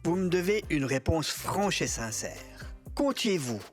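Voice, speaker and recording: A man acts out an earnest official adviser in an audio drama. His delivery is pompous and pleading, swinging widely in pitch, with music underneath. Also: sing-song, unhurried. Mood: exasperated, insistent. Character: formal, earnest male adviser, deferential yet insistent. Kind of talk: French audio drama acting.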